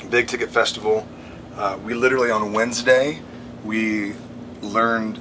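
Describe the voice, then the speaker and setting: A man's voice: lowish voice, background noise. A man in his thirties losing his voice, talking earnestly into a phone on a tour bus after a show. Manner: earnest, rambling, with fillers and restarts. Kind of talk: casual livestream talk. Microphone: phone held at arm's length.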